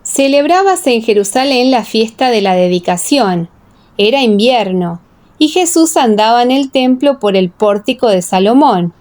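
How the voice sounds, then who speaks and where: A woman narrating a dramatized scripture audiobook in a solemn, measured, carefully enunciated way, with heavy reverberation. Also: on the high side, expressive, a little mellow. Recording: echoing, long reverb.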